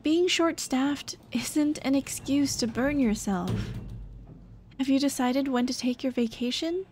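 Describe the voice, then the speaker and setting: A young woman voicing a dead girlfriend's caring, gently nagging ghost in an ASMR roleplay drama, tender and coaxing. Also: sits high, measured pace, soft background music. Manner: tender, coaxing, soft-hearted scolding. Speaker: roleplay voice actress.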